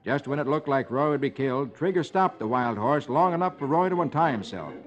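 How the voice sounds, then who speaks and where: A man's voice: medium pitch, expressive, fairly quick. An American man hosting a 1950s children's radio show, narrating comics in a dramatic storyteller's manner. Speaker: host reading the comics. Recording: muffled old radio recording, music behind.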